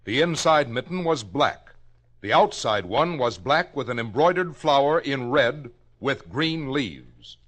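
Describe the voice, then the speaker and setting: A man with an American accent announcing facts from a script in crisp, clipped 1950s radio style. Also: medium pitch, lively intonation, a little slow, fairly bright tone. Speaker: second announcer.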